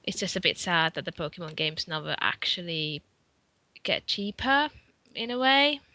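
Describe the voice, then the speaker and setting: A woman from Finland, a podcast guest, chatting casually with fillers and soft trailing asides. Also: on the low side, sing-song, moderate pace. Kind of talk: casual chat.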